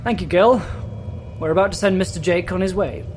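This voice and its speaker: A wry, slightly exasperated male guide to the world of ghosts, played by a man. His voice sits on the high side, dry and a little hesitant, in an audio drama with clear music underneath. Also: fairly quick, darkish tone, natural voice. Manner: hesitant, dry, put-upon.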